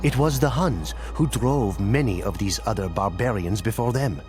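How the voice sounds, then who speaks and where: A man with a low voice delivers scripted, dramatic narration like a game cutscene. His telling is measured and solemn. Music plays clearly behind him. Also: animated, darkish tone.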